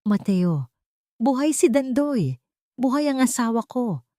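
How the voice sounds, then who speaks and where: Frightened young wife, acted by a female narrator, pleading, fragile, storybook drama, raised pitch. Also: sing-song, measured pace, dark tone, dry recording, studio quality. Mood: anxious, urgent. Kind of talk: storybook dialogue.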